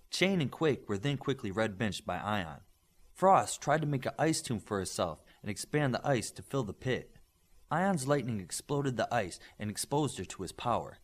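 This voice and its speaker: Male audiobook narrator for action adventure fiction, mid-range voice with very varied intonation. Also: medium pitch. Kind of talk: scripted narration of an adventure story.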